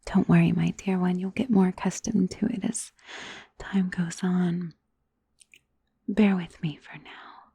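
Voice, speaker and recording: Casting: woman to play elegant female vampire for ASMR roleplay. Mellow, breathy, coaxing and possessive, often whispering close to the mic. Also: fairly low pitch, steady tone, a little slow, long pauses, dry recording, exaggerated voice. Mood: teasing, indulgent. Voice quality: breathy, soft. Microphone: close studio mic.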